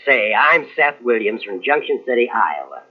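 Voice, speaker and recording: An actor playing a friendly, trusting old small-town train passenger in an old-time radio drama, chatty and agreeable in a folksy way. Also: male voice, mid-range voice, big pitch swings, moderate pace. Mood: friendly, curious. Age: older. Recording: tinny old radio recording from cassette.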